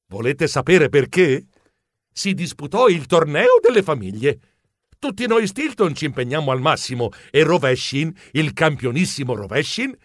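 A man playing an excitable, bumbling, polite mouse journalist who tells his own adventure as theatrical children's storybook narration. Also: on the high side, no room sound, clean studio sound. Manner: theatrical, expressive, comic exclamations.

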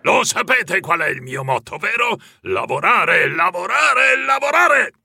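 A man voicing a gruff, bossy old grandfather mouse who grumbles and barks orders, with a gravelly, husky voice. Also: sits high, very animated, a little slow, no room sound, pitched above the performer's own voice, exaggerated voice. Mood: commanding. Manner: barking orders, shouting.

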